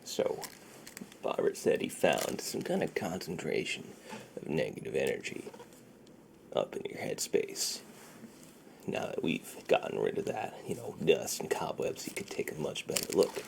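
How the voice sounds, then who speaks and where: A man speaks very low and slowly, half-whispering an ASMR roleplay right at the microphone. His voice has a gravelly fry, and he is soothing and reassuring, murmuring little repetitions. There is clear background noise in the recording. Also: sing-song. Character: friendly shady dealer treating a client's tingle immunity. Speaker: ASMR performer. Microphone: close sensitive microphone.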